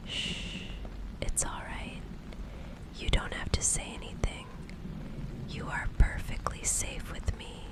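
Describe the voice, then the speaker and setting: A woman acting as a female private investigator who has grown tenderly obsessed. She speaks entirely in a breathy whisper, very slowly, soothing the listener with possessive care in an intimate ASMR roleplay. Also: close to an everyday voice, low-quality recording. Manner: slow, hushed, soothing reassurance.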